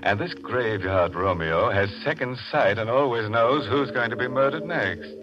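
An actor playing a gleefully morbid, mock-solemn horror host in the style of 1940s radio. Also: male voice, medium pitch, expressive, normal speed, over music, lightly acted. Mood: gleefully ghoulish. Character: ghoulish, gleeful male horror host cracking morbid jokes. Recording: dull old radio recording.

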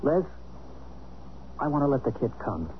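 An actor playing a bewildered, wry but soft-hearted American husband in a 1970s radio drama. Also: male voice, mid-range voice, big pitch swings, normal speed, natural voice. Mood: earnest, wry. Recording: muffled, hissy 1970s radio drama recording.

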